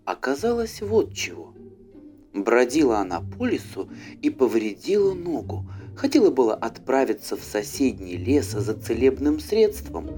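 Man, audiobook narrator, expressive storytelling, animated pitch, mid register, soft music underneath. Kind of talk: expressive fairy-tale narration. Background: faint music.

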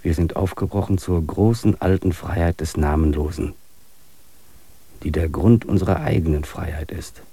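A man's voice: sits low, moderate pace, gravelly, dark tone, husky, no room sound. A man in a classic radio play speaking earnestly, musing on dreams and reality in a philosophical tone. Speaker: main character and reflective narrator.

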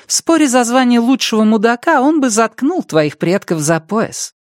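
Man voicing a high-pitched, insolent young woman. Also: very animated, normal speed, dry recording, clean studio sound. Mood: sarcastic defiance. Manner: insolent, dismissive. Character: defiant, mouthy young girlfriend, sarcastic.

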